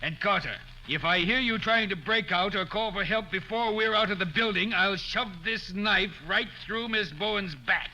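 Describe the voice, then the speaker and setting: An actor playing a cold, calculating killer holding a hostage in a 1940s radio crime drama, threatening in a smooth-talking way. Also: male voice, high voice, normal speed, hardly any pauses, lightly acted, low-quality recording. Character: menacing, calculating male murderer taking a hostage at knifepoint. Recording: old radio recording, faint noise.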